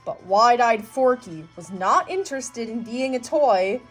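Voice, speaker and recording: A woman's voice: fairly high pitch, lively intonation, unhurried, slightly rough, poor audio. A woman reading a children's story aloud in a scripted narration style. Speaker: storybook reader. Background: music.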